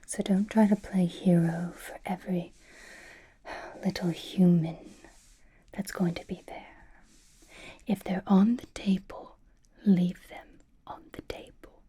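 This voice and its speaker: Woman doing an elegant vampire lady for asmr, breathy whisper, real slow n mellow, teasing and possessive. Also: lowish voice, steady tone, full character voice. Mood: dry, instructing. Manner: slow, refined, wryly teasing. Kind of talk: ASMR roleplay, whispered personal attention.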